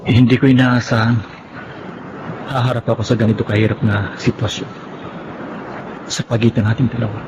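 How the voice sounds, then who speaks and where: An actor playing an earnest, sorrowful young lover in a Filipino radio drama. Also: male voice, mid-range voice, little pitch movement, normal speed, long pauses, lightly acted. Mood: troubled. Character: Arman, earnest young lover torn by his parents. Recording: dull, noisy radio drama recording.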